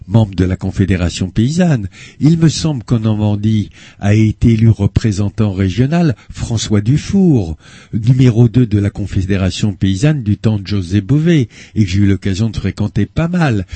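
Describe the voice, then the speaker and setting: An older man speaking as an association spokesman in a radio interview, measured and hesitant, with frequent pauses. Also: on the low side, warm tone, breathy edge, no echo. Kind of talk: earnest radio interview answers. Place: radio studio. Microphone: studio microphone.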